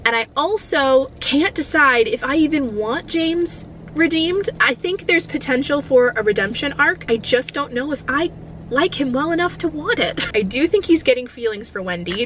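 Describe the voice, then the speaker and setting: A woman giving a casual, chatty book review in an expressive, opinionated way, recorded inside a car. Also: a little high, a little hiss or hum, rough recording.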